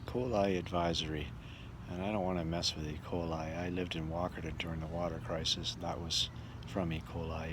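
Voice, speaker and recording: A Canadian man with a deep voice talks in a casual, folksy vlog style. He rambles along like a musician out on tour. A faint vehicle rumble runs through the on-the-road recording. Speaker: touring musician and entertainer.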